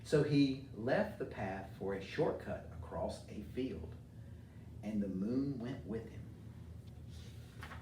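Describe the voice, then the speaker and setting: A man's voice: on the low side, slow, long pauses, mellow. A middle-aged American man speaking informally to children as a community leader and storybook reader. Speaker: mayor reading to children. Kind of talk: informal talk and storybook read-aloud for children. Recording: roomy echo, distant mic, faint noise.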